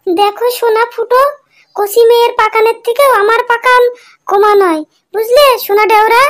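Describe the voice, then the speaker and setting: Clingy, high-voiced flirty sister-in-law Boishakhi. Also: male voice, fairly even intonation, normal speed, fairly bright tone. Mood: pleading. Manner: coaxing, insistent, wheedling. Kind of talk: comic cartoon dialogue.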